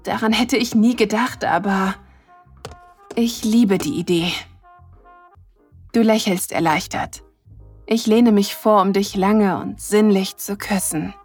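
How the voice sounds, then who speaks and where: Audio drama lead, woman: mid-pitched, tender and sensual, eager lover telling the story intimately, light music underneath. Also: takes it slowly, pauses often, a little mellow, slightly rough. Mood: aroused, eager. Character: affectionate, eager woman in love with her partner. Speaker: narrator and lead character. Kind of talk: intimate second-person audio drama narration.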